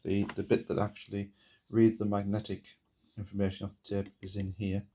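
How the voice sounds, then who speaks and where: Male demo presenter, casual and hesitant, soft-edged dark tone with noticeable fry. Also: fairly low pitch, completely flat delivery, brisk, a little hiss or hum. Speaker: presenter of a gadget demonstration video. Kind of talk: casual hands-on demonstration, explaining step by step. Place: indoors at a wooden table.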